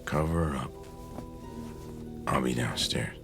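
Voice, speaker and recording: An actor playing a grave, practical small-town husband in a radio drama, speaking tersely and matter-of-factly in a gravelly voice. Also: male voice, very deep voice, slow, music in the background, exaggerated voice. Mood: grave, controlled. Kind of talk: old-time radio drama acting.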